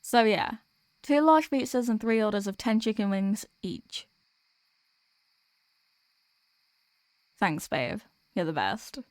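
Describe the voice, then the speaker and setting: A woman's voice: medium pitch, expressive, measured pace, no echo, lightly acted. A woman playing a sweet, weary demoness girlfriend in an ASMR roleplay, chatting affectionately and intimately to her partner. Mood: tired, playful. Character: sweet, weary demoness girlfriend venting about office work.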